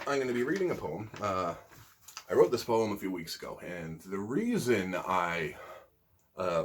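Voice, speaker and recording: Man, lowish pitch, raspy husky tone, homemade poetry video host, casual talk and spoken word. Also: a bit bright. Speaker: amateur poet and video host.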